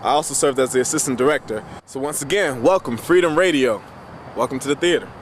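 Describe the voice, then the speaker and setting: Male theater director, informal presenter, mid-range voice, direct address with pauses, clear traffic noise behind. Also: medium pitch. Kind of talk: informal spoken introduction.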